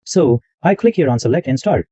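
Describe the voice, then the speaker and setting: A man talks through a software setup step by step, often repeating a phrase as he goes. His voice sits a bit high and swings around a lot, with a mellow tone. The recording is dry and a little dull and lo-fi. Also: lively intonation, brisk, husky, dry recording. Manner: explains step by step, repeats himself. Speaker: tech tutorial host. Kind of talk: screen-recorded software walkthrough.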